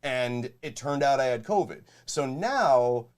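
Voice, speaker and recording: A man's voice: medium pitch, expressive, measured pace. A man with a nasal voice and a Rochester, New York accent, co-hosting in blunt, profane casual chat. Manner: swears freely, blunt and joking. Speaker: podcast co-host.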